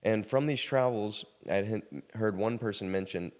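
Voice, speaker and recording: A man's voice: on the low side, moderate pace. An American man from South Carolina telling casual personal stories as a podcast guest, acting out bits of conversation as he goes. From South Carolina, United States. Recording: reverberant hall-like room sound.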